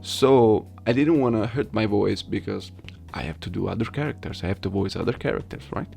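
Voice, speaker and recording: A man's voice: lowish voice, fairly quick, vocal fry, a little mellow. A man chatting casually to viewers as an amateur voice actor, self-deprecating, repeating himself and checking in with little tag questions. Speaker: amateur voice actor, video host.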